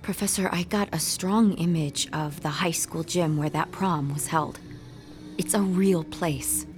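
Low-voiced earnest young woman, troubled actress's performance. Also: a little slow, music in the background, natural voice. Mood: urgent, candid. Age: young adult. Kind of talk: audio drama acting, naturalistic dialogue.

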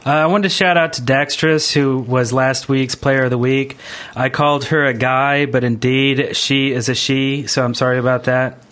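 A man's voice: mid-range voice, fairly even intonation, moderate pace, a bit bright. Informal man co-hosting a gaming podcast. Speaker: podcast co-host. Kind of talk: casual gaming podcast chat.

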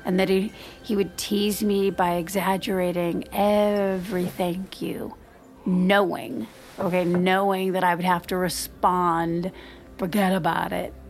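A woman's voice: lowish voice, fairly even intonation, a little slow. A woman acting in an audio drama as a reserved married mother in her thirties, confiding in a hesitant, self-deprecating way. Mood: wry, embarrassed. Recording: music playing behind the voice.

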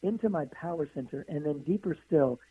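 A hoarse woman, a guest author on a radio interview, who answers in long flowing runs of stacked phrases. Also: low voice, animated, a little fast. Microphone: phone call. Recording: tinny phone line.

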